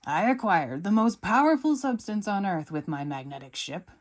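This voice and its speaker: A woman's voice: mid-range voice, expressive, moderate pace, natural voice. A woman reading a storybook aloud, voicing a big, boastful male villain who swings between jovial and menacing.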